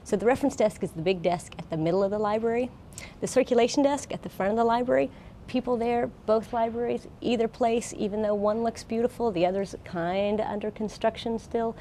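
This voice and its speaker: A woman's voice: on the high side, a little fast, warm tone, a little hiss or hum. An American woman giving an informal, plain-spoken explanatory presentation as a college librarian. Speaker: college librarian, guest speaker.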